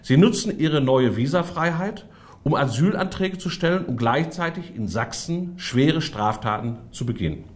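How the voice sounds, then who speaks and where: A man's voice: a little high, animated, moderate pace. A man delivering a prepared political statement in a formal, stiff and emphatic manner. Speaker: politician, party spokesman.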